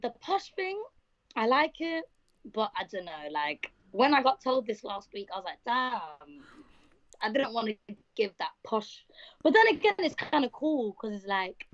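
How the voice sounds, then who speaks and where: A twenty-one-year-old woman from London with a posh London accent and a hoarse voice, chatting lightly as an interview guest. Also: fairly high pitch, a little slow, no echo, lo-fi sound. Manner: lively, laughs easily. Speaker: rapper, guest. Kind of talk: casual interview chat.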